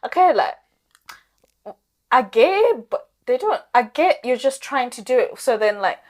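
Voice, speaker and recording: High-voiced woman chatting casually. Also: expressive, measured pace. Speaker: podcast co-host. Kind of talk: casual film and TV podcast chat.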